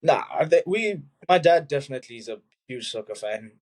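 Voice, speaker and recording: Relaxed young South African man. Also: medium pitch, fairly quick. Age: young adult. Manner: relaxed, loose, many "like" fillers. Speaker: college soccer player, guest. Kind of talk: casual interview chat.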